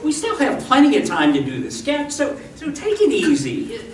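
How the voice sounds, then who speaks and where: A woman's voice: fairly high pitch, wildly swooping pitch, moderate pace. A woman playing a fussy, nervous male star in an old-time radio comedy, flustered and hesitant. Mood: harried. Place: live stage performance of a radio show. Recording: echoey stage, distant mic, noisy.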